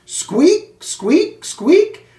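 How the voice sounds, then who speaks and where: Small, eager storybook hamster done by a male reader, high-pitched, squeaky, pleading, in an echoey room. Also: big pitch swings, very slow, mellow, heavily acted. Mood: excited squeaking. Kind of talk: children's storybook character voice. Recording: roomy echo, distant microphone.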